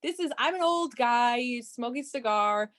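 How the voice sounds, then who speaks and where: A woman's voice: on the high side, animated, a little slow, fairly bright tone. A woman voicing a creepy, crude little old man who bosses people brashly in an improvised comedy sketch. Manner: brash, bossy, shameless.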